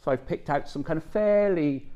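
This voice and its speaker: A man's voice: a little high, normal speed, muffled recording. A middle-aged man giving a conference talk, conversational, checking in with the audience with frequent "okay" and "yeah". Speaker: academic presenter.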